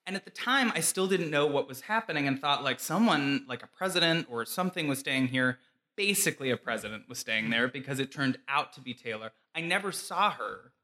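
A man's voice: mid-range voice, lively intonation, fairly quick, bright, forceful tone. A man co-hosting a casual chat, telling an anecdote animatedly, laughing often and using "like" a lot. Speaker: podcast co-host.

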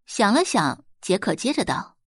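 A woman's voice: medium pitch, big pitch swings, moderate pace, husky, no echo, studio quality. A woman narrating a scripted audio drama as a storyteller.

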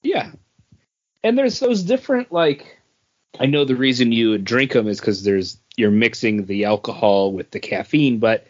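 A man's voice: mid-range voice, muffled recording. A man chatting casually as a podcast host.

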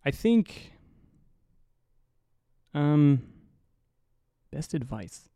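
A young man hosting a solo podcast in a low, mumbly voice, rambling and swearing casually, right up on the mic. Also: very animated, extremely slow, warm tone, clean tone, dry recording, studio quality. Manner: rambling, profane, self-mocking. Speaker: podcast host. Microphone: close to the mic.

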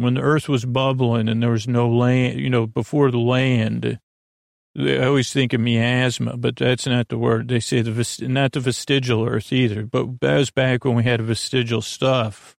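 A man hosting a bedtime podcast in a gravelly voice, meandering haltingly through repetitive, digressive thoughts that trail off mid-sentence. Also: medium pitch, no room sound, studio quality. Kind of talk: rambling, meandering bedtime monologue.

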